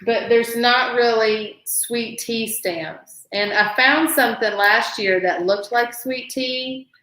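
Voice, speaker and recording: Bright woman with playful banter. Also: a little high, slow pace. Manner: playful, laughing, drawling asides. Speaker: podcast co-host. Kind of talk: casual chat. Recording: echoey room.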